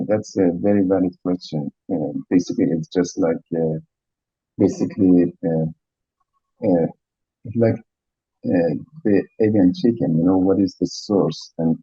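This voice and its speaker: A man's voice: deep voice, warm tone, slightly rough, no echo. A man with a Persian accent answering questions after a lecture, hesitant and full of "uh" fillers. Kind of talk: academic Q&A answer. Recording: dull lo-fi lecture audio.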